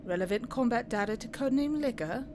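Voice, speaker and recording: A woman's voice: fairly high pitch, expressive, fast talker, noisy background, lightly acted. A woman playing a businesslike interrogator who puts formal, pointed questions to a soldier in an audio roleplay. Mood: businesslike, probing. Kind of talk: dramatic audio roleplay acting.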